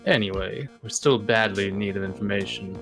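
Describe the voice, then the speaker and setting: A man's voice: lowish voice, fairly quick, lightly acted. A man voicing a blunt, practical male investigator, reading video game dialogue aloud. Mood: businesslike. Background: game music.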